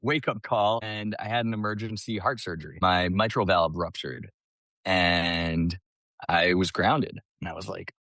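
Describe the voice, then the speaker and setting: A man from the US East Coast tells personal stories as a podcast guest. His lowish voice is chatty and colloquial, peppered with "like", and recorded close and dry. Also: steady tone, measured pace, bright, forceful tone, no echo. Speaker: podcast guest, small business owner. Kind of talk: casual personal storytelling.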